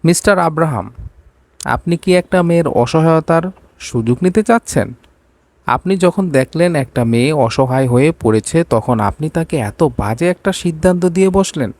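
A man's voice: on the high side, lively intonation, moderate pace, darkish tone, no room sound, voice pushed up. A man reading as a desperate young woman in a romance story. She pleads and reproaches, in a dry, close recording. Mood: indignant. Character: desperate, indignant young woman seeking a job.

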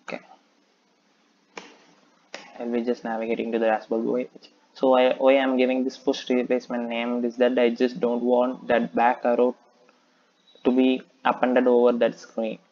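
Flat-voiced man narrating a coding tutorial. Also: medium pitch, normal speed, pauses often, dull audio. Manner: methodical, steady, thinking aloud, frequent "okay". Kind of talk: screen-recorded coding tutorial.

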